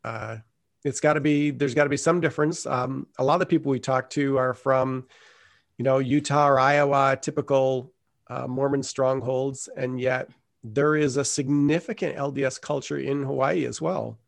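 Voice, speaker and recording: A man's voice: medium pitch, fairly even intonation, moderate pace, gravelly. A man co-hosting a podcast, greeting listeners and asking a guest questions in a friendly way. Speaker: podcast co-host. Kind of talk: casual interview.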